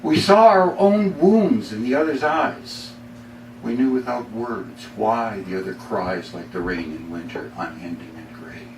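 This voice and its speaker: Middle-aged Canadian male poet, deliberate dramatic phrasing, echoey room, faint background noise. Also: lowish voice, a little mellow. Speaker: poet reading own work. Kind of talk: live poetry reading. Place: bookstore reading before a small audience. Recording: roomy, distant mic, faint noise.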